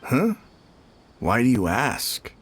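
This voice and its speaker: Lively, shrugging game townsman. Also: medium pitch, takes it slowly, slight background noise. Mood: puzzled, casual. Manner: casual, shrugging. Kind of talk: video game voice acting.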